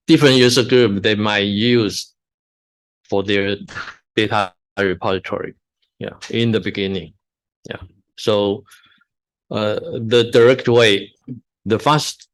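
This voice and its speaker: A man's voice: fairly low pitch, fairly bright tone, low-quality recording. A man answering audience questions at a conference, in brief replies with frequent yeses. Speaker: conference speaker and session chair. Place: conference room.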